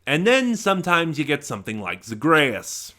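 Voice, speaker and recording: A man giving a casual, rambling review, halting and correcting himself, with deliberate emphasis on key words. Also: on the high side, huge pitch swings, bright, forceful tone. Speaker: audio drama reviewer.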